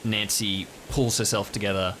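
A man's voice: fairly low pitch, level tone, moderate pace, sharp tone, slight background noise, rough recording. A man working as game master, improvising vivid second-person narration of a tabletop adventure. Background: music.

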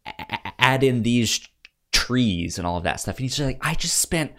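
Man, podcast co-host, casual chat, lively swooping intonation, halting delivery, repeated stumbles over words. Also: medium pitch. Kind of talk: casual film discussion chat.

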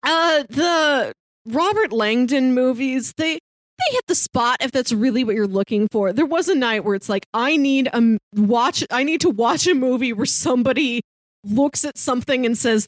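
A woman's voice: a little high, expressive, normal speed, no room sound. A woman co-hosting a casual movie chat, talking like an enthusiastic fan with wry, playful asides. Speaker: podcast co-host.

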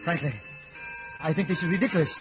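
An actor playing a fussy, disbelieving estate attorney with a scoffing manner in an old-time radio drama. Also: male voice, a little high, close to an everyday voice. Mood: irritated. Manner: animated, brisk, scoffing. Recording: dull vintage radio recording with music.

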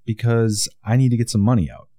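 A man's voice: mid-range voice, steady tone, brisk, warm tone, dry recording, clean studio sound. A man from Wisconsin with a Wisconsin accent, hosting a casual interview and telling stories in an easygoing way. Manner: easygoing storyteller. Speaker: podcast host.

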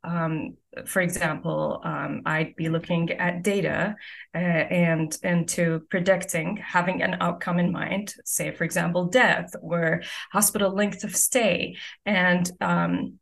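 A young woman from Saudi Arabia, a guest physician-scientist, explaining her research slowly, with many ums and uhs. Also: on the low side, fairly even intonation, gravelly, fairly bright tone. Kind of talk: conversational interview answers.

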